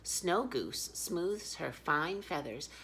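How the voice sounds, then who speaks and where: A woman's voice: lowish voice, sing-song, takes it slowly, a bit bright, a little hiss or hum. A woman reading picture books aloud expressively as a children's storyteller.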